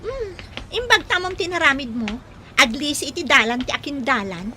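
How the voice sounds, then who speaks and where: A woman plays a snooty, sharp-tongued young woman in an Ilocano radio drama. Her high, bright voice swoops expressively with an Ilocano accent. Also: animated, normal speed, a little hiss or hum. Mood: haughty.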